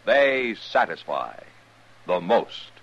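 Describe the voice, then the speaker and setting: Male American ad announcer, measured pace, lively intonation, vintage radio sound with music. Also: on the low side, heavy background noise. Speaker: sponsor announcer. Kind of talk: 1950s radio commercial announcing. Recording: dull old radio recording.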